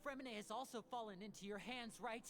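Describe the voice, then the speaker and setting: A woman's voice: a little high, fast, gravelly, soft background music. A woman voicing a defiant, protective young male magician in a video game, intense and accusing in delivery. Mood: angry, accusing.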